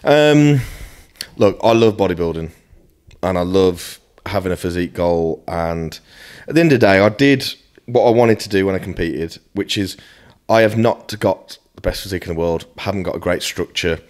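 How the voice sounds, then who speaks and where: Deep-voiced British man, blunt and sweary. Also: normal speed, a little mellow. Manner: blunt, sweary, self-deprecating. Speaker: podcast guest, fitness coach. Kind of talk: casual chat.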